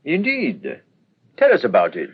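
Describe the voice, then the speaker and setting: An actor playing an eager, commanding detective in an old radio drama, formal and courteous yet urgent. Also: male voice, a little high, huge pitch swings, measured pace, slightly rough, full character voice. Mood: intent. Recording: dull, noisy old radio recording.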